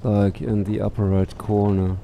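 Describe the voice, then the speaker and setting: A man's voice: fairly low pitch, monotone, a little slow, muffled recording. A middle-aged man doing casual let's play commentary and reading on-screen game text aloud. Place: small room at home, indoors. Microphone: headset microphone. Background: faint game music.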